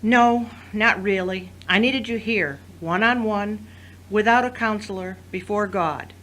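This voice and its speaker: A woman acting in an audio drama as a wounded but resolute wife, speaking in a solemn, deliberate, commanding way. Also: mid-range voice, a bit bright, lightly acted.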